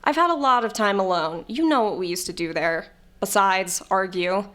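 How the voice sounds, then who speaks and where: A woman's voice: medium pitch, normal speed, a bit bright, natural voice. A woman playing a jaded, blunt, sardonic character in an audio comedy-drama, with a dry delivery. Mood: testy, wry.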